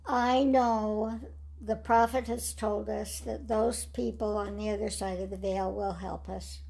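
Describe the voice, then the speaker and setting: An older woman with a raspy voice, telling her life story slowly and reflectively in an interview. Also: medium pitch, steady tone. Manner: slow, measured storytelling with soft trailing phrases.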